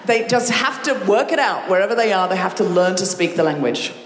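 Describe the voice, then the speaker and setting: A woman hosting a live comedy show on stage, teasing and chatting quickly with the audience. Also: medium pitch, animated. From Australia. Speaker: comedy podcast host. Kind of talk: live stand-up crowd work. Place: large concert hall with live audience. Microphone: stage microphone. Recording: roomy hall with long reverb.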